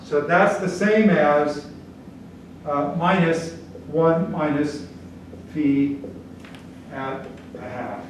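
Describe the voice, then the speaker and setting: A man's voice: fairly high pitch, drawn-out words, long pauses. An older man whose first language is German, lecturing on statistics at a whiteboard in a casual, blunt way that keeps checking in with the class. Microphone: camera at the back of the room. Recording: echoey classroom from far off, noisy.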